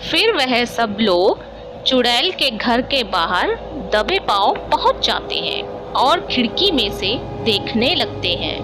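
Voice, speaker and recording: A woman's voice: fairly high pitch, sing-song, slow pace. A woman narrating a scripted cartoon story in a storytelling style, with noisy ambience behind her.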